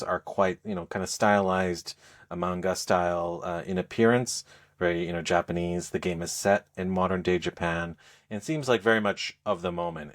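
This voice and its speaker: A man speaking as a podcast co-host, explaining things in a casual, halting, conversational review style. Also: low-pitched. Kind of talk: casual game review chat.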